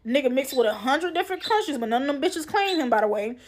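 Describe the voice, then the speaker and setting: A woman's voice: high-pitched, animated, quick pace. A woman in a brash, casual rant, swearing heavily.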